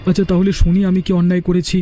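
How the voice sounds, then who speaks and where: A man's voice: high voice, completely flat delivery, racing, warm tone, soft background music, natural voice. A man voicing a poor, humble male yogurt seller in a cartoon, with a deferential, plaintive manner. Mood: pleading. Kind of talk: cartoon character dialogue.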